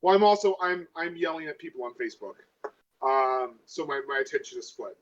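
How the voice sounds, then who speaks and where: A woman's voice: low-pitched, lively intonation, brisk. A woman bantering sarcastically as a player in a tabletop role-playing game, on a lo-fi microphone. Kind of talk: casual banter.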